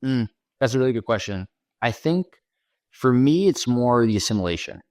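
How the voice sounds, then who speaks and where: A man's voice: medium pitch, lively intonation, normal speed, no echo, studio quality. A man from Washington D.C. with an American accent, a podcast guest talking casually in an interview, loose and chatty, with lots of "you know" and "right". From Washington D.C., USA. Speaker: podcast guest, content creator.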